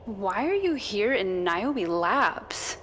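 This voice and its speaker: A woman's voice: medium pitch, very animated, takes it slowly, a bit bright, a little hiss or hum. A woman playing a wary female soldier asking questions in a science fiction audio drama.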